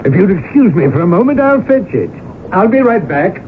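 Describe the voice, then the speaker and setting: A man's voice: high-pitched, sing-song, moderate pace. An actor playing a boastful, jovial male colonel in a radio drama, affable and breezy. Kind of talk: 1980s radio drama acting. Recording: noisy lo-fi old radio broadcast.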